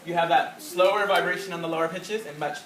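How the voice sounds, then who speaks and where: A man's voice: on the high side, fairly even intonation, fast, a loud crowd. A man music teacher explaining to a class how the voice works, demonstrating by singing. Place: school classroom. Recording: echoey classroom from far off with loud noise.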